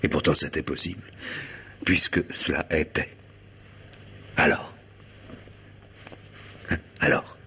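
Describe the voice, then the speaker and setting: A tormented man at the end of his nerves in a French radio mystery. His voice is low and husky. He broods, stumbles and repeats himself, bitter and hunted. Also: steady tone, pushed and bright, heavily acted. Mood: brooding. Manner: halting, repeats words, brooding. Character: anguished, disillusioned man who feels hunted and driven mad.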